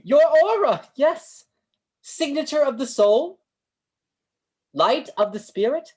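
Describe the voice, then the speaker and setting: A woman's voice: sits high, big pitch swings, takes it slowly, a little mellow, full character voice. A woman playing a grandiose, kindly street fortune-teller with florid delivery in a staged play reading over a video call. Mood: mystical, boastful. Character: Great Banzini, grandiose theatrical male street fortune-teller, kindly mystic.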